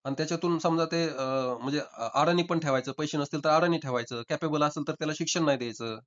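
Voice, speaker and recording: Fast-talking insistent man on muffled call. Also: a little high. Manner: insistent, argumentative, repeating himself. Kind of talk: informal debate. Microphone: recorded phone call. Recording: dull lo-fi call recording.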